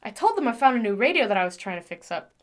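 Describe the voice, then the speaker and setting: A woman playing a chatty, gentle mechanic in an audio drama, rambling affectionately to a broken machine. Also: on the high side, very animated, racing. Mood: fond, chatty. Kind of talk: audio drama monologue, conversational.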